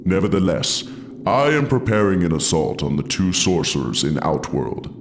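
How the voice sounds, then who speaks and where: A grave, authoritative deity in a game cutscene, very low voice, clearly noisy background. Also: male voice, very animated, normal speed. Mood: solemn, urgent. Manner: formal, authoritative. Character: commanding, solemn thunder god rallying warriors. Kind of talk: video game cutscene acting.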